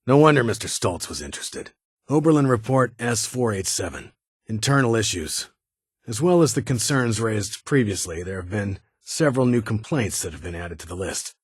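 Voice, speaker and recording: A man's voice: on the low side, expressive, moderate pace, slightly rough, no echo, clean studio sound. A man playing a stern, guarded military security chief in a video game, with a clipped, authoritative and brusque manner. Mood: businesslike, then official reporting. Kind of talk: video game character dialogue.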